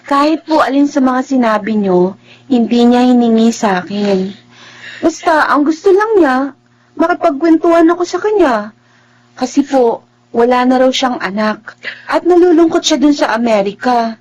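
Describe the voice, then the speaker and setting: A young Filipina student in a radio drama. Her voice is high and girlish, with expressive delivery. She comes across as trusting, hopeful and a little stubborn. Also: moderate pace, lo-fi sound. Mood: reassuring, pleading.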